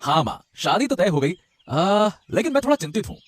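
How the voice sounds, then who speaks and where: A man's voice: high voice, very animated, fairly quick, faint music behind. A man voicing an earnest, dutiful young groom-to-be from a rich family in a cartoon story, hesitant and respectful. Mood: concerned, respectful. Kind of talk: Hindi cartoon story dialogue.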